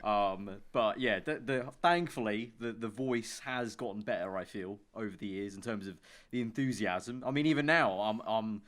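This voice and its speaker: Loud, animated young man guest. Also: on the low side. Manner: loud, enthusiastic, quick laughs. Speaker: podcast guest, YouTube creator. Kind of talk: casual podcast chat.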